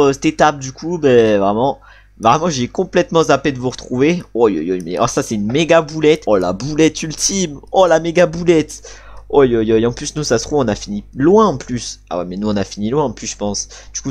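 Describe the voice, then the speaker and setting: A French man giving casual, chatty commentary while playing a cycling video game, with frustrated asides about his team leader. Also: on the high side. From France.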